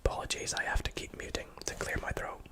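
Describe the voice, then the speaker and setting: A person with a Scottish accent whispering softly into a close microphone while answering chat questions on a livestream, with frequent ums. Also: fast. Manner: soft whisper, reading chat aloud with many fillers. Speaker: ASMR streamer.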